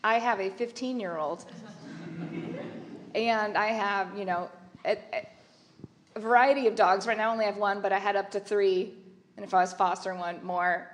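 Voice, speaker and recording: A woman's voice: mid-range voice, fairly even intonation, normal speed, pauses often. A woman artist speaking on a panel in a loose, chatty way, full of restarts and asides. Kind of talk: casual panel discussion.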